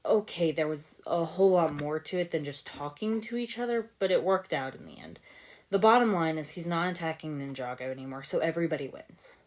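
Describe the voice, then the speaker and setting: A woman's voice: low voice, fairly quick, lightly acted. A woman voicing an earnest young male ninja hero, casual and sincere, in a story reading. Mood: earnest, reassuring.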